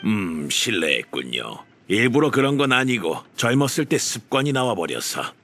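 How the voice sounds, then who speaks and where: A man's voice: mid-range voice, sing-song, moderate pace, a bit bright. A man voicing a jovial, humble old official in a video game, with folksy, old-fashioned speech. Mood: polite, warm. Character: jovial, humble old male official posing as nagging uncle. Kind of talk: Korean video game voice acting.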